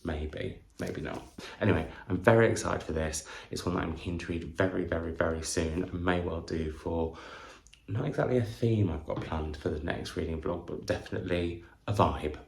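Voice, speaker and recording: A man's voice: low voice, moderate pace, gravelly, a little mellow. A man chatting to camera in a book vlog, effusive and full of wandering asides and questions to himself. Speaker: book vlogger, channel host. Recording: roomy indoor echo.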